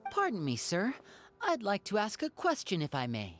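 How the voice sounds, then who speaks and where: A woman's voice: mid-range voice, huge pitch swings, normal speed, fairly bright tone, soft background music. An actress playing a composed, courteous young woman duelist in a video game, formal and reserved.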